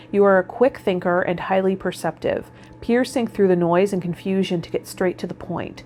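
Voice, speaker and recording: A woman's voice: lowish voice, a little mellow, a little hiss or hum. A woman hosting a spiritual vlog, talking informally and reflectively, straight to her viewers. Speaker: tarot vlogger and host. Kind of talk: casual spiritual vlog talk, partly reading card meanings.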